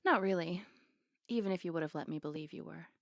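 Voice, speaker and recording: A woman's voice: fairly low pitch, quick pace, creaky, no echo, clean studio sound. A woman playing a flirty, playful, affectionate barista on a date in an intimate, teasing ASMR roleplay. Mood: warm, sincere.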